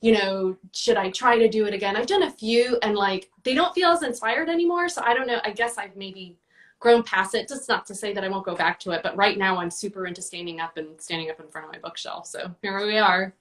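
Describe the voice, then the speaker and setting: A woman's voice: mid-range voice, fairly quick, recorded from a distance. A woman answering interview questions in a casual, chatty way, with many fillers and restarts. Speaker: guest, book reviewer.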